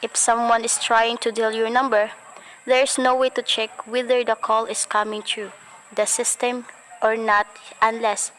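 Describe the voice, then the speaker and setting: A woman's voice: fairly high pitch, moderate pace, a little hiss or hum, lightly acted, rough recording. A girl as a polite telephone operator. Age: teens. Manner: formal, even, courteous. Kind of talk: school radio play acting.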